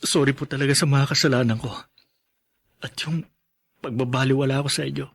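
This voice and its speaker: A man's voice: medium pitch, steady tone, a little fast, gravelly, a bit bright, husky. A man playing a remorseful young husband and new father in a drama, earnest, respectful and apologetic. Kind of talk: melodramatic drama acting.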